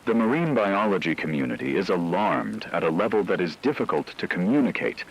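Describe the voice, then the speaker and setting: A man playing a grave radio broadcaster delivering a measured, formal emergency report on a global catastrophe. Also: deep voice, expressive, husky, heavily acted, low-quality recording. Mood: grave, explanatory.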